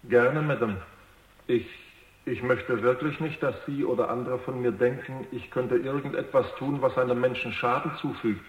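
Radio play robot: naive, eager to please, anxious and courteous, mid-pitched with a roomy echo. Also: male voice, moderate pace, highs cut off. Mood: anxious, earnest. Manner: deferential, earnest, slightly hesitant. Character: new male robot, naive, polite, earnest and anxious. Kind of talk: radio drama acting. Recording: echoey, roomy radio drama production.

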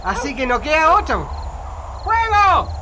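An actor playing a sadistic, mocking Chilean military corporal in a radio drama, taunting prisoners and barking orders. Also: male voice, very high voice, sing-song, unhurried, very noisy, heavily acted. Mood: menacing, barking. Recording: music bed under the voice.

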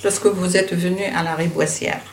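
A woman's voice: lowish voice, steady tone, moderate pace, reverberant. An older woman from Syria, talking bluntly and dismissively with her daughter at home. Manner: blunt, dismissive, talks over her questioner. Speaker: interviewee, the producer's mother. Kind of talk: candid family conversation.

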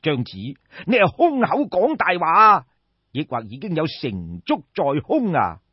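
Guy doing a proud doubting minister in an old tale, formal n challenging, pitch up and down, echoey. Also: huge pitch swings, a little slow, breathy edge, heavily acted. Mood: challenging, doubtful. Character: proud, sceptical male court minister, formally courteous. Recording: echoey room, slightly dull sound.

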